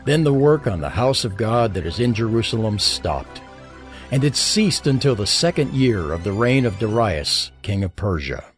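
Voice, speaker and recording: Expressive male scripture narrator. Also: lowish voice, moderate pace, soft background music.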